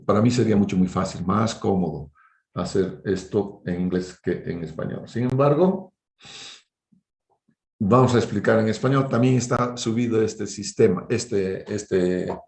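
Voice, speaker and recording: A low-pitched man speaks as a university lecturer, his voice gravelly with fry. He explains informally and didactically, often closing statements with little tag questions. The sound is roomy and echoing, as in an online class. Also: unhurried, a little mellow.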